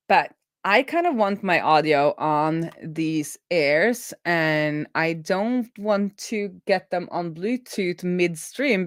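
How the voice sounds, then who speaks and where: A middle-aged woman host talking informally through live coding, thinking aloud and often halting as she works things out. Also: on the low side, no echo, studio quality. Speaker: host of a web development live stream. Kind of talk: casual live coding commentary.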